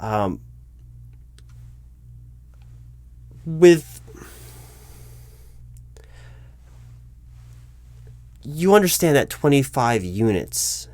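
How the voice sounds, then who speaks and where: A man playing a patient, probing hiring manager in a one-sided interview roleplay, speaking aloud in a halting, improvised way and repeating words. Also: mid-range voice, darkish tone, no room sound. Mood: questioning. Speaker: job interviewer. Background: computer keyboard typing.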